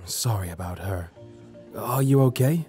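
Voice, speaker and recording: Animated series: popular charmer, relaxed mellow voice, gently teasing. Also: male voice, on the low side, measured pace, soft background music. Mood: kindly, amused. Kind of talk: animated roleplay voice acting.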